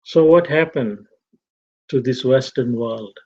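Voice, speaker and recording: A middle-aged man from Tanzania giving a reflective talk to a fellowship, measured and repetitive. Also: mid-range voice, darkish tone. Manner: slow, measured, repeats phrases. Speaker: fellowship speaker. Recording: dull lo-fi recording.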